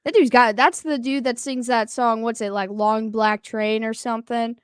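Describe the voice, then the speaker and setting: A woman co-hosting a live football stream in casual chat, excitable and quick to react to big plays. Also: a little high, smooth, dry recording, clean studio sound.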